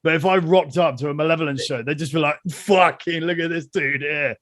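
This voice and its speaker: A man's voice: on the high side, a little fast, no room sound, studio quality. A man hosting a podcast, telling stories animatedly and swearing freely in casual chat. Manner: animated storyteller, heavy swearing, laughs a lot. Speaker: podcast host.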